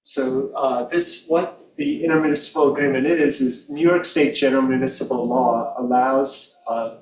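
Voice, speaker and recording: A man on planning commission staff giving a slide presentation in an even, measured way. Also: mid-range voice. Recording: echoey room from far off with faint noise.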